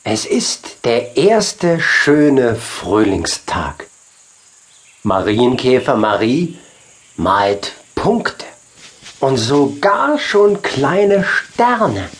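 Male children's audiobook narrator, very unhurried, lively melodic delivery, ambience behind. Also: mid-range voice. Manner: expressive storytelling. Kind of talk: scripted children's story narration.

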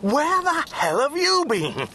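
An actor playing a lonely, exasperated Englishman stranded in prehistory in a radio comedy, halting and drily sarcastic, with an English accent. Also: very high-pitched, huge pitch swings, sharp tone, slight background noise, full character voice. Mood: testy, dry. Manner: flustered, halting, dry sarcasm. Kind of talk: radio comedy drama acting.

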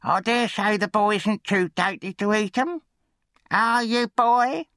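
Shrill, scornful scolding wife. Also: male voice, measured pace, sharp tone, no echo, clean studio sound, heavily acted. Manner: scolding, bossy. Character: harsh, scornful middle-aged undertaker's wife, shrill and domineering.

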